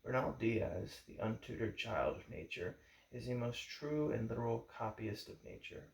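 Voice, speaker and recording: A man reading a history book aloud in a measured, formal way, with deliberate phrasing. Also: mid-range voice, animated, vocal fry. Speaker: book reader. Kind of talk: audiobook-style reading of a history book introduction. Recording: echoey room.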